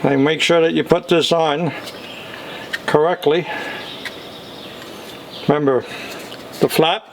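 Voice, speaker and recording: Man, casual product demonstrator, instructional, unhurried, echoey room, faint background noise. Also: on the high side, steady tone. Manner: instructional, step-by-step.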